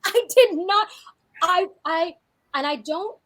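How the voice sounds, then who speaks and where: High-voiced, chatty woman in her forties. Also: takes it slowly. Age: 40s. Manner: chatty and animated, with frequent restarts. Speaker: fitness coach and podcast co-host. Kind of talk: casual podcast chat.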